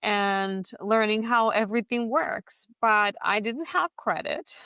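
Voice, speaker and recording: A woman's voice: mid-range voice, slow pace, sharp tone, clear, resonant tone, no room sound. A middle-aged woman from Colombia, a native Spanish speaker with a Colombian accent, telling personal stories conversationally as a podcast host. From Bogota, Colombia.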